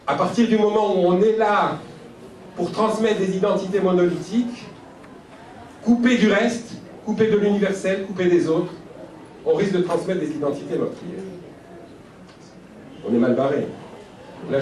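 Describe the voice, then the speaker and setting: A man's voice: high-pitched, darkish tone, a little hiss or hum. Man lecturing from afar in a hall. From Algeria. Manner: reflective, measured, with pauses and lists. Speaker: speaker at a conference. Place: conference hall. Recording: echoey hall from far off.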